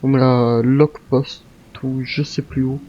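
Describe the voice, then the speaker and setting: A man's voice: mid-range voice, little pitch movement, slow, lo-fi sound. A man chatting casually in character as a wary survivor while playing a zombie roleplay game.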